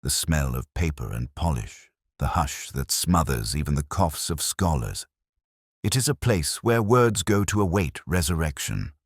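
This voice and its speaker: A man with an exceptionally low voice narrates a literary detective story. His delivery is formal and measured, yet his intonation moves widely. The sound is dry and close, with no room around it. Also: sing-song, slightly rough, no echo. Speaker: audio drama narrator. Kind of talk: scripted first-person literary mystery narration.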